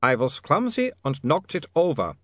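A man's voice: fairly high pitch, very animated, moderate pace, fairly bright tone, dry recording, clean studio sound, pitched above the performer's own voice. A man reading a story aloud and voicing a nervous male vampire inventor with a foreign accent, pleading and trembling. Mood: sheepish.

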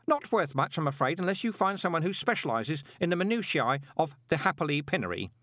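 A man's voice: on the high side, big pitch swings, brisk, fairly bright tone, dry recording, clean studio sound, pitched above the performer's own voice. A man voicing an odd, earnest, pin-obsessed boy in an audiobook, speaking in an eager, polite and fussily precise way. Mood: absorbed, precise. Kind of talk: audiobook character voice.

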